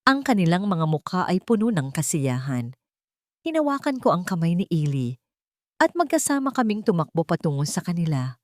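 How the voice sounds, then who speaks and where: A woman's voice: on the low side, darkish tone, dry recording, clean studio sound. A woman narrating a scripted first-person romance story in an earnest, sentimental, dramatic way.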